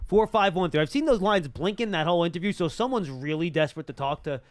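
A man's voice: high voice, lively intonation, quick pace, dry recording. A man hosting a casual live sports talk show, close on a studio mic. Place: small indoor studio. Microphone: close broadcast boom mic.

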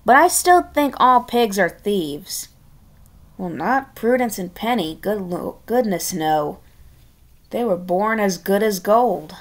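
A woman reading a children's story aloud in an expressive, halting way, with casual chatty asides. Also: mid-range voice. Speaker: storybook reader.

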